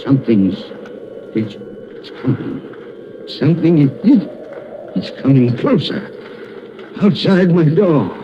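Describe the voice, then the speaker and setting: Crotchety old miser, slow dramatic delivery, widely swinging intonation, curt, vintage radio drama, muffled noisy recording. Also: male voice, on the high side, sing-song. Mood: fearful. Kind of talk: 1930s radio drama acting.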